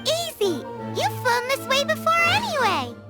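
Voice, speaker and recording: A woman voicing a tiny, excitable fairy-like girl companion who talks in a bouncy, blunt, teasing way, with game music behind her. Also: very high voice, a little slow, exaggerated voice. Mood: perky.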